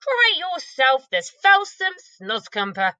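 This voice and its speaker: A woman's voice: high-pitched, measured pace, dry recording, pitched above the performer's own voice, heavily acted. A woman voicing a huge, very old, kindly male giant who mangles his grammar, read aloud from a storybook. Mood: storytelling. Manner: muddled grammar, lively storybook delivery.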